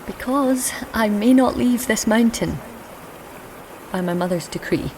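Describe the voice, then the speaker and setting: A woman playing a proud, lonely, cursed young daughter of a goddess in an audio drama, speaking in a measured, hushed, solemn way. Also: a little high, slight background noise, lightly acted, rough recording. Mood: guarded. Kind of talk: audio drama acting with first-person narration.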